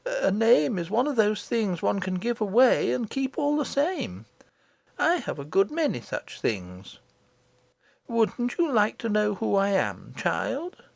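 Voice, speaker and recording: A woman voicing a kindly, dignified old great-great-grandmother queen in a storybook audiobook, gentle, gracious and composed. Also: mid-range voice, sing-song, slow, vocal fry, no echo, voice pushed up, heavily acted. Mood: kindly, patient.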